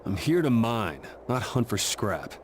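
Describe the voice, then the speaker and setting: An actor playing a terse, skeptical mining engineer newly arrived, in a video game. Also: male voice, mid-range voice, big pitch swings, measured pace, a little hiss or hum.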